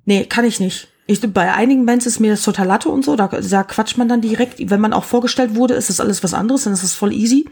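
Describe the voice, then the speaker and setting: A husky woman in her forties talks in a loose, casual chat. She is frank and blunt and drops slang freely. Her voice has a slightly rough, breathy edge. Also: fairly high pitch, quick pace, hardly any pauses, a little mellow.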